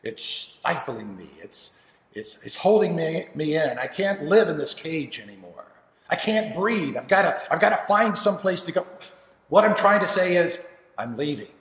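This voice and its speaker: A man playing a restless, defiant young son in a stage-style monologue, with forceful, stammering outbursts, desperate to leave. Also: a little high, very animated, unhurried, hardly any pauses, pitched above the performer's own voice, full character voice. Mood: frustrated, desperate. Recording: roomy, echoing hall with long reverb.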